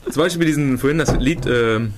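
A man's voice: medium pitch, brisk. A man co-hosting a podcast in casual, joking chat, often with a chuckle in his voice. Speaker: podcast co-host. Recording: some background noise.

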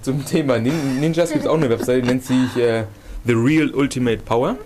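A man's voice: mid-range voice, normal speed, over a noisy crowd. A man co-hosting a podcast in casual, joking chat, often with a chuckle in his voice. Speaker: podcast co-host. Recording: some background noise.

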